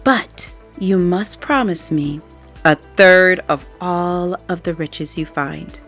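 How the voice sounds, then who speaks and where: A woman voicing a tiny, scheming boy who whispers his plan in a hushed, conspiratorial way. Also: lowish voice, takes it slowly, soft background music, heavily acted. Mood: sly.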